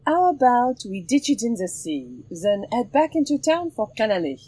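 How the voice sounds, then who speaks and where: A woman's voice: fairly high pitch, sing-song, normal speed, mellow, breathy edge. An actress playing a bold, teasing swordswoman in an audio drama, with theatrical delivery. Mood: playful, affectionate. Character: bold, teasing, sword-wielding French swashbuckling heroine.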